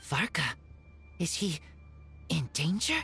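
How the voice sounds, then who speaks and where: A worried young woman from a video game speaks slowly and haltingly. The voice is fairly low yet bright, hesitant in its delivery. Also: sing-song, faint music behind, full character voice.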